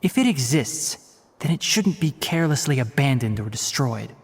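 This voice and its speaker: A man's voice: mid-range voice, animated, moderate pace, breathy edge. A man voicing a stern, scholarly young male forest ranger who speaks in a precise, lecturing way, in a game cutscene. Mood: earnest. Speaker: forest watcher.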